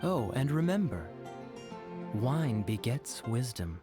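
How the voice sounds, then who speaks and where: Suave, sly young knight, animated. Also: male voice, mid-range voice. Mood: confiding. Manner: wry, unhurried, theatrically polite. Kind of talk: video game character dialogue. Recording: studio voice over game music.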